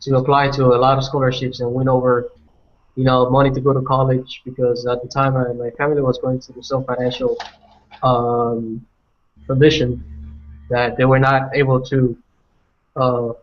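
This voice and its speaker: A man's voice: medium pitch, completely flat delivery. A young man from Colombia, telling his own story on a panel and restarting his sentences often. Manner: halting, many restarts and fillers. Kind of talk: personal story on a webinar. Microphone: video call. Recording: dull lo-fi video call audio.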